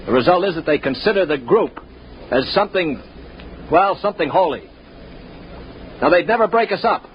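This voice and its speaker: A man's voice: on the high side, lively intonation, moderate pace, a little hiss or hum, lightly acted. A man playing a level-headed, world-weary prisoner who also narrates a 1950s radio drama in an earnest, dry way. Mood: stern. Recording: muffled 1950s radio recording.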